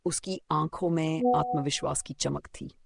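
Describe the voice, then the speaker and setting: A man's voice: fairly high pitch, steady tone, moderate pace, creaky. A man narrating a scripted moral story for children as a storyteller.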